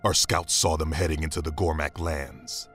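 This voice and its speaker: An alien squad leader of a commando force in a sci-fi audio drama. The voice is extremely low and rough-edged, reporting like a dutiful soldier over faint music. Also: male voice, fairly even intonation, normal speed, fairly bright tone. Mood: dutiful, grave. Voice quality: very deep, husky.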